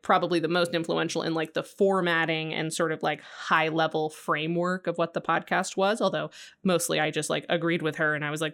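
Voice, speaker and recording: A woman co-hosting a podcast in casual chat, quick and joking, with a deeper voice. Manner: quick, joking, animated with laughs. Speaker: podcast co-host.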